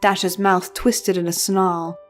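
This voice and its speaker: A woman's voice: lowish voice, little pitch movement, takes it slowly, faint music behind. A woman narrating a story in a dramatic, scripted reading style.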